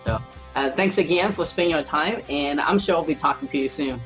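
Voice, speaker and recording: A man's voice: a little high, a little fast, fairly bright tone, soft background music. A man hosting an interview, agreeable and encouraging, with short affirmations and fillers. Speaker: host, interviewer. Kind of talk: casual interview.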